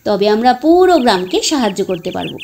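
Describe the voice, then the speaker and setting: A woman voicing an eager, impatient young village boy in a storybook tale, speaking in a raised, excited tone. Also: mid-range voice, fairly quick, gravelly, a little hiss or hum. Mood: hopeful.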